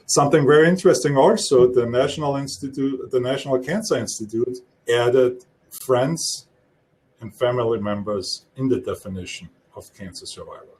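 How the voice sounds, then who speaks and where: Middle-aged austrian guy talking through his work, calm steady delivery, accent clear. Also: medium pitch, a little hiss or hum, low-quality recording. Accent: Austrian German. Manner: explanatory, measured, some restarts. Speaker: guest speaker. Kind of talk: live-streamed talk.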